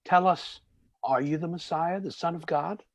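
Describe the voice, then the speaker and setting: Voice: mid-range voice, moderate pace, dry recording, close to an everyday voice. A reader voicing a stern official who questions a prisoner, in a church scripture drama. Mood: questioning. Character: stern interrogating official: high priest and governor.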